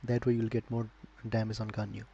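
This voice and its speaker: A man giving casual, instructive advice on a live gaming stream, speaking in a halting way. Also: on the low side, monotone, warm tone, highs cut off. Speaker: game streamer. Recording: noisy live stream microphone.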